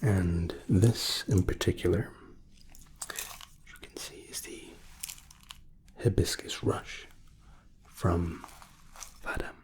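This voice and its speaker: Male, ASMR spa attendant, breathy whisper, deep pitch, gentle, reassuring. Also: wildly swooping pitch, slow pace, long pauses. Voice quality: whispery, breathy, soft-spoken. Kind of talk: ASMR spa roleplay, softly explaining treatments.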